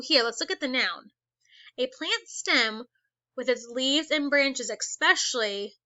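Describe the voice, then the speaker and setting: A woman teacher reading a children's book aloud. She reads slowly and stops to ask the class questions. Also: a little high, bright, forceful tone, no room sound, highs cut off. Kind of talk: read-aloud lesson for children.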